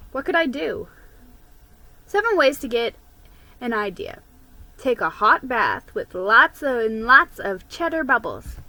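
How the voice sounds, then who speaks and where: A woman giving an expressive, theatrical reading of a children's story. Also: on the high side, low-quality recording. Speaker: storybook reader.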